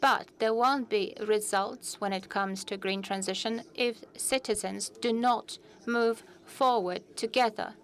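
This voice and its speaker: A woman interpreting a conference speech in a brisk, formal way. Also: medium pitch, fairly even intonation.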